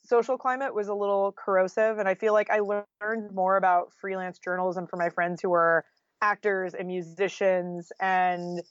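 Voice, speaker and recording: A woman's voice: mid-range voice, fairly even intonation, normal speed, no echo, lo-fi sound. An American woman talking casually and candidly as an interview guest, with an informal style full of "like" and "you know". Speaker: guest, freelance journalist.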